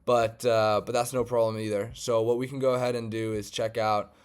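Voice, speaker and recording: A man from Germany talks through a data query as he clicks along his screen. His voice sits fairly low and sounds smooth and clear. He keeps the tone loose and chatty, with many small "uh"s along the way. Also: a little fast. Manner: relaxed, conversational, frequent "um" and "uh" fillers. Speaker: data scientist. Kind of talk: screen-share tutorial walkthrough.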